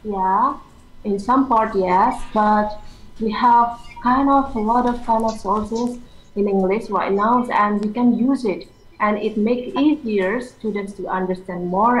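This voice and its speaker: A woman, an English teacher, answering interview questions earnestly over a video call, sometimes pausing to search for words. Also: a little high, reverberant, poor audio.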